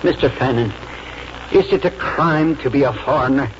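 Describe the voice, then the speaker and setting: An actor playing an earnest immigrant farmer with a foreign accent, speaking halting broken English in 1940s radio drama style. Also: male voice, medium pitch, lightly acted. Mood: anxious pleading. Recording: muffled old radio broadcast, faint hiss.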